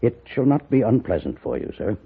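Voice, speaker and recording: Male, wealthy blind patriarch for vintage radio western. Raspy low voice, wide inflection, determined and formal, narrow-band archival sound. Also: big pitch swings, a little fast, exaggerated voice. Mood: resolute. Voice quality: husky. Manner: formal, commanding. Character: proud, determined, wealthy blind gentleman, a father. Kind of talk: 1950s radio western acting. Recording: narrow, muffled old radio recording.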